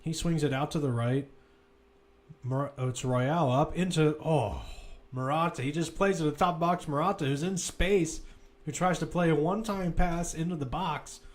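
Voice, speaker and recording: A man's voice: a little high, normal speed. A man giving live football play-by-play commentary, describing the action as it runs, with asides and self-corrections.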